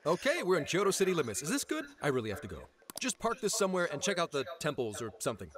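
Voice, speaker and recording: An actor playing a gruff but apologetic middle-aged detective father in an English video game dub. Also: on the high side, wildly swooping pitch, rapid-fire, a crowd in the background. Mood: brusque, hurried. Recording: loud game music under the dialogue.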